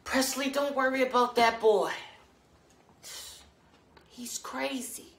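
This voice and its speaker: A man's voice: very high-pitched, fairly even intonation, takes it slowly, a bit bright, echoey room, voice pushed up, full character voice. A man playing an anxious, scolding mother who gives urgent commands in a theatrical monologue. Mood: dismissive. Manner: urgent, commanding, protective of her husband.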